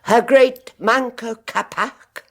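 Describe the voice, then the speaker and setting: A woman's voice: a little high, steady tone, slow pace. An actress playing an elderly, genteel woman who answers tersely and keeps her guard up, in 1980s radio drama style.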